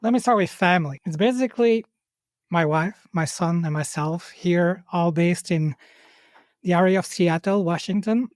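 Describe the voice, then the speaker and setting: A man's voice: high voice, normal speed, dry recording. A man from Belgium with a Belgian accent, a podcast guest chatting casually and laughing often. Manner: friendly, laughs often, uses many "like" fillers. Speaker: guest, Microsoft community lead. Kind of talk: casual interview chat.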